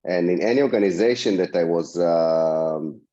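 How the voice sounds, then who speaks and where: Hoarse low-voiced man, halting speech. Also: warm tone, highs cut off. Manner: hesitant, many fillers and restarts. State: hoarse from a cold. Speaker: panelist. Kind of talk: panel discussion answer. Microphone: video call.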